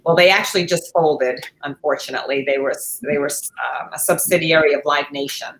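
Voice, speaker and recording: A woman's voice: deep voice, fairly even intonation, a little fast, a bit bright, poor audio. A middle-aged woman, co-hosting a podcast in casual conversation. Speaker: podcast co-host.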